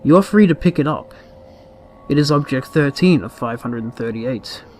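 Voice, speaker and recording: A man reading a scripted horror story aloud in a deliberate, ominous, dramatic manner. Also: medium pitch, expressive, a little mellow, a little hiss or hum. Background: background music.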